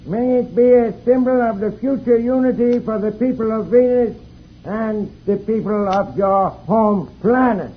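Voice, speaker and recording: An actor playing a ceremonious Venusian dignitary giving formal oratory in an old radio serial. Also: male voice, high-pitched, a little slow. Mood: solemn welcome. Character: ceremonious Venusian dignitary welcoming visitors from Earth. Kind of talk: 1950s radio serial acting. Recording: tinny, noisy old radio recording.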